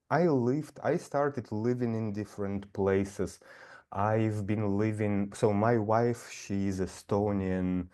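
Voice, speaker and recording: Middle-aged guy, slow and rambly, low mellow voice, lots of ums and restarts. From Siberia. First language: Russian. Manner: thoughtful, rambling with many restarts and fillers. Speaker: guest, nature guide. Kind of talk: casual conversation.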